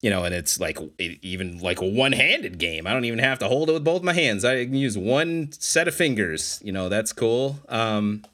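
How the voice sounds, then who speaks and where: A man's voice: medium pitch, animated, moderate pace, hardly any pauses, bright, forceful tone. A man in a casual podcast chat about video games, talking in a rambling, reflective way. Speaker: podcast host.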